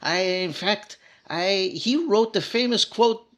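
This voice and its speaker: A man's voice: high voice, takes it slowly, fairly bright tone. A man playing a jolly, chatty, boastful comic fellow in old-time radio comedy style. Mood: proudly boastful.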